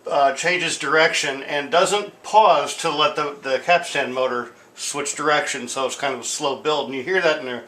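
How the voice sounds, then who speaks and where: A man's voice: medium pitch, sharp tone. A man giving a casual, unscripted demonstration in an informal, hesitant, explanatory way, as someone who restores machines. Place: indoor room at home. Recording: roomy, echoing sound with hiss.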